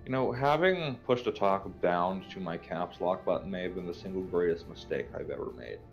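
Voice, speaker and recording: A man playing an online game, playful and quick with memes in voice chat. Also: low-pitched, animated, muffled recording, soft background music. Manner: playful, meme-quoting. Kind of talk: casual voice chat.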